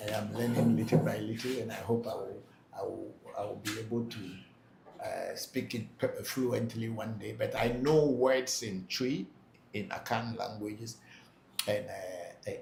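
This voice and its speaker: A man with a gravelly, mid-pitched voice hosts a live question-and-answer session as a spiritual leader. He reads out questions and answers them bluntly, repeating points to drive them home. The sound is roomy and echoey, with a faint hiss underneath. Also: darkish tone. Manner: plain-spoken, repeats himself for emphasis. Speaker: Vodu priest hosting a live Q&A. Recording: echoey room, faint background noise.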